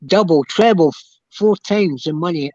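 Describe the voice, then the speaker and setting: An older Scottish man tells stories from his playing days in a fairly high voice. He rambles and halts as he goes, and the sound is dry and a bit dull, with no room around it. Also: a little mellow, dry recording. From Scotland. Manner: rambling, halting storyteller. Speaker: guest, former footballer. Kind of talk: casual chat, reminiscing.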